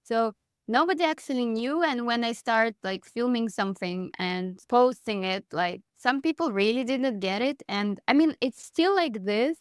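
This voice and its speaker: A woman's voice: a little high, normal speed, smooth, no room sound, studio quality. A woman in her thirties from Moscow with a Russian accent, talking casually as an interview guest and laughing often as she tells her story. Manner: chatty, laughs often, frequent "like". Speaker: guest, dance teacher.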